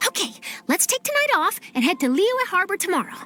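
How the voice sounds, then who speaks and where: An actress playing an upbeat, inquisitive young journalist in a video game cutscene. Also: female voice, very high-pitched, very animated, a little fast, bright, forceful tone, soft background music, exaggerated voice. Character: upbeat curious young woman reporter.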